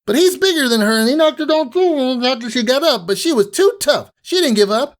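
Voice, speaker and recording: A man voicing an eager, excitable little girl pony from a cartoon. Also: very high-pitched, brisk, voice pushed up. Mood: excited rambling.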